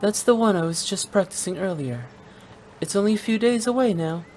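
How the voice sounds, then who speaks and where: A woman's voice: medium pitch, expressive, a little fast, a little mellow, slight background noise, lightly acted. A woman playing a graceful, polite young opera performer in a video game, earnest and poised. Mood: gracious, inviting. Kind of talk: game voice acting. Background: background music.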